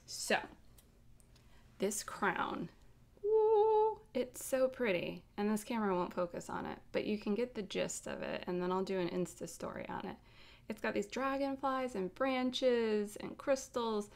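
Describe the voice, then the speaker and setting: A woman host speaks in a medium-pitched voice. She talks casually and informally about books, as in a relaxed chat show. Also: measured pace, creaky.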